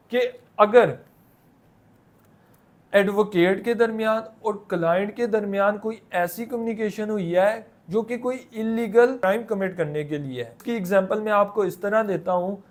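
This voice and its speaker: A man's voice: high voice, normal speed. A man with a Pakistani accent explaining material like a lecturer, in a colloquial way with repeated filler phrases and English terms mixed in. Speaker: law lecturer.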